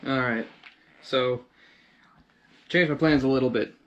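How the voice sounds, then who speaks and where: A man's voice: mid-range voice, a little slow, muffled recording. A man hosting a casual DIY video, narrating informally and thinking aloud as he works with his hands. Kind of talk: casual how-to narration while working. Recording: clear background noise with handling clicks.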